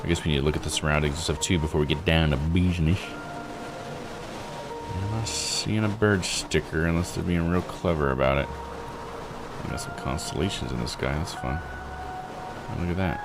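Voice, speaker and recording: A man's voice: a bass voice, normal speed, pauses often. A man doing casual let's play commentary as a game streamer, thinking aloud in folksy, slangy phrases. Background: game music.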